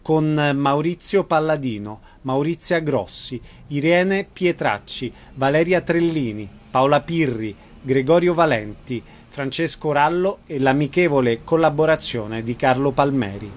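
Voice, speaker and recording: Higher-voiced man announcing credits. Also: expressive, unhurried, few pauses.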